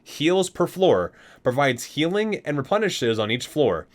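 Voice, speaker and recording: A man's voice: on the high side, big pitch swings, a little slow. A man hosting an ASMR video, reading guidebook entries aloud and sometimes stumbling and correcting himself.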